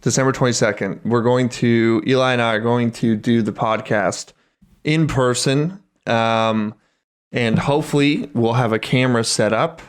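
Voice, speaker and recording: A man's voice: medium pitch, normal speed, clear, resonant tone. A man co-hosting a podcast in an easygoing, agreeable, casual way. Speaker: podcast co-host. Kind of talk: casual chat.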